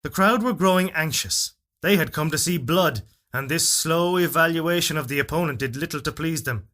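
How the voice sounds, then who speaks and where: A man reading a literary fairytale as narrator, in a solemn, measured and expressive storytelling delivery. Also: a little high. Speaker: story reader. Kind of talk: dramatic literary narration of a first-person fairytale.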